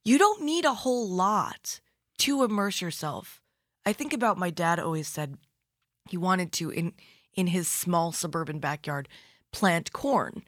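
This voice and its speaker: A woman's voice: lowish voice, expressive, unhurried, a bit bright, no echo. A woman hosting a radio interview in a studio, asking curious, eager follow-up questions in a conversational way. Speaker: radio host.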